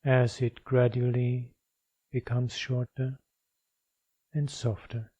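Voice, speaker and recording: Very slow, dark-voiced male meditation guide. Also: medium pitch, monotone, husky, dull audio. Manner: measured, instructional, with long pauses. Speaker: meditation teacher.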